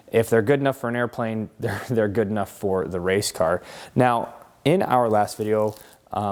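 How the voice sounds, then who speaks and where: A man's voice: lowish voice, darkish tone. A man with an upbeat, enthusiastic way of talking, hosting a car channel and giving a casual product review to camera.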